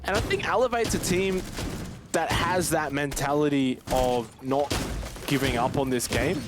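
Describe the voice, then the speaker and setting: Higher-voiced man casually commentating esports over noise. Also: unhurried. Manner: conversational, informal asides to listeners. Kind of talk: live game commentary and casual banter. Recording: clear game noise behind commentary. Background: video game sound.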